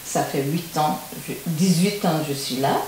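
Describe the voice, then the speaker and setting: A woman from Guinea, answering interview questions in a halting way with simple phrasing. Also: low-pitched, a little mellow. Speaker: interview guest. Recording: roomy echo with faint hiss.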